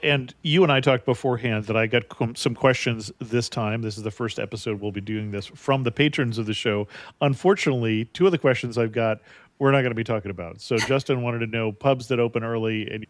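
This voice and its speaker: A man's voice: mid-range voice, a little fast, dry recording. A man hosting a travel interview podcast, asking his guest short, friendly questions. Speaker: podcast host. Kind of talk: casual interview.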